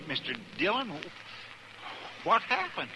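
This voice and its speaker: An actor playing a loyal, plain-spoken marshal's deputy with an American accent and a folksy, deferential manner in a 1950s radio western. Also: male voice, high-pitched, wildly swooping pitch, slow pace, exaggerated voice. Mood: sober. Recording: very noisy vintage radio recording.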